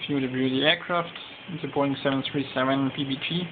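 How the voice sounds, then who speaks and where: A man giving an informal demonstration, speaking haltingly with pauses mid-sentence, recorded with a tinny, narrow sound. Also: mid-range voice, steady tone. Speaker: demonstrator of a home-built flight simulator. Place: indoor home cockpit simulator room. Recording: tinny narrow-band phone-like sound, noisy background.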